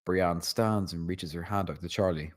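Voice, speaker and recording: A man's voice: sits low, steady tone, normal speed. A man narrating scene directions from a screenplay at a table read. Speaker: narrator reading stage directions.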